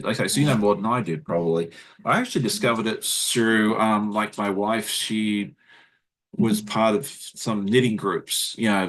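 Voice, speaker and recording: An Australian man, a guest, talks quickly in a casual livestream chat with lots of fillers and restarts. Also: fairly low pitch, fairly bright tone, poor audio. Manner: fast-talking, chatty, many fillers and restarts. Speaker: guest content creator.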